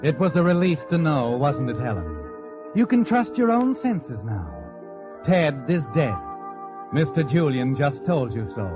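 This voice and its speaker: An actor playing an ominous, all-knowing narrator of a 1940s radio suspense story, insinuating and dramatic. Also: male voice, on the high side. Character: ominous all-knowing mystery narrator addressing the guilty character. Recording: dull vintage radio recording with music.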